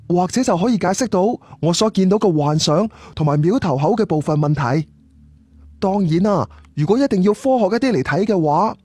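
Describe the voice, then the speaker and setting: A man's voice: high-pitched, dark tone, no room sound. A man with a Cantonese accent narrating a scripted first-person story in a conversational, colloquial way. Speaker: audiobook storyteller.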